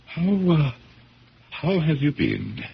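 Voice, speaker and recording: An actor playing an elderly, troubled gentleman collector with a gravelly voice and hesitant, courteous, formal speech in a 1970s radio drama. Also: on the high side, wildly swooping pitch, slow pace, exaggerated voice. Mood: remorseful, gentle. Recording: dull, noisy old radio recording.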